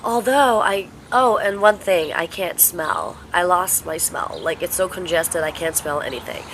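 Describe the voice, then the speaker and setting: A young woman vlogging casually at home, stuffed up and congested, talking in a slow, halting, tired way. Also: on the low side, background noise. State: congested, sniffly with a cold. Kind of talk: casual vlog chat. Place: home, indoors.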